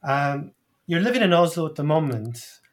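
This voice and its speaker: A man's voice: on the high side, expressive, normal speed. A man co-hosting an interview podcast, chatting in an upbeat, easygoing way. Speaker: podcast co-host. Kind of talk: casual chat.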